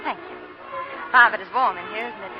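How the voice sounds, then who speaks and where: An actress playing a proud, headstrong young belle in a theatrical, impassioned 1940s radio drama style. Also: female voice, medium pitch, fairly quick, lightly acted. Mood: haughty poise. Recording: muffled 1940 radio broadcast, music behind.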